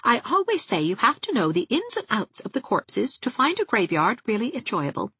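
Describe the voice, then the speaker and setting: A woman's voice: fairly high pitch, wildly swooping pitch, fairly quick, breathy edge, no room sound, phone-quality sound, voice pushed up, heavily acted. A woman voicing a ladylike small-town spinster dressmaker in an audiobook, chatting genteelly with relish for grim family gossip. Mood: chatty relish. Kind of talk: acted audiobook dialogue.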